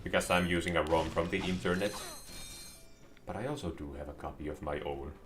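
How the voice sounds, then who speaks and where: A man's voice: low-pitched, fairly quick, over music. A man joking sarcastically as he comments on a game he is playing. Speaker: streamer. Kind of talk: gameplay commentary.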